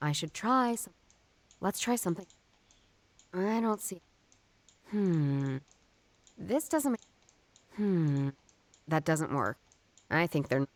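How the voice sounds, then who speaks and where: A woman's voice: on the low side, big pitch swings, takes it slowly, long pauses, no room sound. An actress voicing a stubborn, skeptical woman detective in a noir game, wry and musing as she reasons things out. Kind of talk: noir detective game voice acting, inner monologue.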